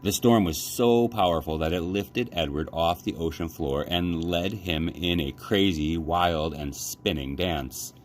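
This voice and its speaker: A man with a deep voice reads a children's novel aloud. His phrasing is measured and dramatic, like a library storyteller's. Noise is clearly audible behind him. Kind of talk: children's audiobook-style read-aloud.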